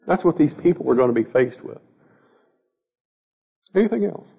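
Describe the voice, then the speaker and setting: A man's voice: a little high, expressive, normal speed. A man teaching an informal Bible study class, a little scratchy and stuffed up, talking plainly and often repeating words as he questions the group. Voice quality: gravelly. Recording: dull lo-fi recording.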